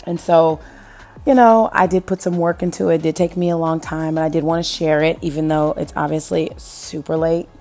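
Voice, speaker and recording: A woman in her thirties talks in a casual, rambling voice-over. Her voice is hoarse and gravelly from illness and sits low. Faint background music plays under her. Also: steady tone, brisk, warm tone. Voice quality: gravelly, husky. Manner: chatty, rambling, asks viewers questions. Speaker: home decor vlogger.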